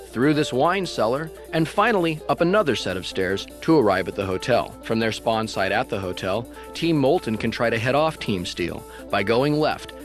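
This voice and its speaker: A man doing scripted play-by-play commentary on a video game match, with wry jokes slipped between rapid calls. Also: medium pitch.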